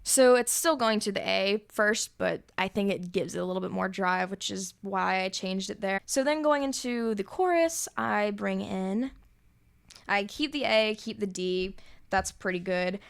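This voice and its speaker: A young woman with a high voice, casually explaining her chord changes to a song as a songwriter, relaxed and explanatory, pausing with thinking fillers. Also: vocal fry, clean tone. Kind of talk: casual music theory walkthrough.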